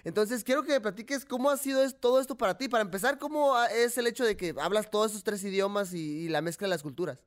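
A young man hosts a relaxed sports talk show. His voice sits high and he talks in an animated, chatty way, often stopping to restart a sentence. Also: quick pace, few pauses. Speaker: host.

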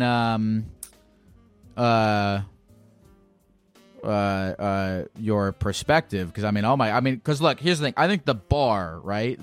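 A man hosting a podcast and chatting with a caller, blunt and teasing, swearing freely and hesitating with many fillers. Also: medium pitch. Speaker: podcast host. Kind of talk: casual chat with a caller.

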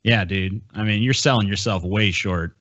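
A man's voice: fairly low pitch, moderate pace, a bit bright, dry recording, muffled recording. A man hosting a podcast, chatting casually with crude, heavily profane language and reading out viewer messages. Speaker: podcast host.

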